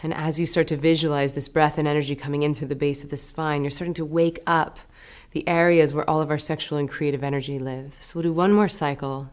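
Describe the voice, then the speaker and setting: A woman leading a guided meditation as a teacher, giving gentle, unhurried instructions with pauses between phrases. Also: deep voice, fairly even intonation.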